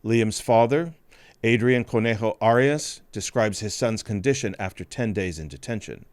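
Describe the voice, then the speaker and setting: A male podcast host reading a scripted news report in a grave, measured way. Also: lowish voice, clean tone, no room sound.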